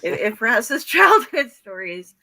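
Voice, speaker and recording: A woman's voice: fairly high pitch, big pitch swings, slow, bright, forceful tone. A woman hosting a casual video-call chat about storytelling, rambling with fillers and restarts. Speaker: host.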